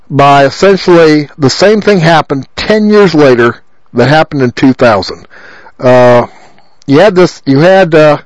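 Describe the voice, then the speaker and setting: A man with a loud voice, a political candidate telling a long rambling story with many repeats and fillers. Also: a little high, dry recording, rough recording. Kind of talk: rambling monologue.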